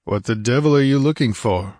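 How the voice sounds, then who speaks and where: A man voicing a gruff barbarian swordsman in a story, blunt and terse. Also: medium pitch, brisk, darkish tone, no echo, clean studio sound, natural voice. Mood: gruff, impatient.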